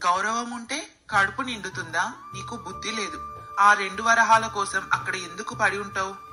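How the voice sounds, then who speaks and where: A woman's voice: medium pitch, moderate pace, pushed and bright, faint music behind. A woman voicing a jealous, haughty rich housewife in a bedtime story, scornful and commanding. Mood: scornful, bossy. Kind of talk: acted dialogue in a moral bedtime story.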